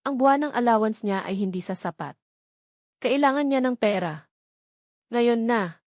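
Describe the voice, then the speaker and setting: A woman's voice: on the high side, animated, moderate pace, a little mellow, no room sound, clean studio sound. Woman narrating a dramatic romance story.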